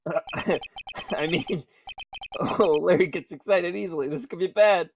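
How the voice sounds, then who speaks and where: A man's voice: sits high, unhurried, gravelly. A man casually playing a game with friends on stream, joking, swearing and hesitating as he reads along. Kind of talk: casual group game play with reading aloud. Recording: music behind the voice.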